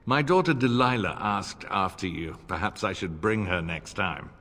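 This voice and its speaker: A greying, middle-aged fantasy lord speaks low and husky in a reverberant hall. He is formal, courteous and restrained, as in game dialogue. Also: animated, moderate pace, a bit bright, heavily acted. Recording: long hall reverb, faint music.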